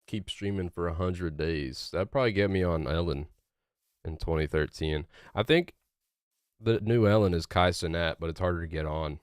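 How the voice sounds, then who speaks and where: A man's voice: low voice, normal speed, smooth, dry recording, studio quality. A young man streaming a video game, chatting casually with viewers in a rambling, laid-back way full of fillers. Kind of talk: casual livestream chat while gaming.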